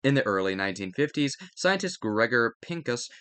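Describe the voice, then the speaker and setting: A man's voice: medium pitch, very animated, brisk, fairly bright tone, no echo. A man reading a textbook aloud as a study-video host, stumbling over words now and then and correcting himself.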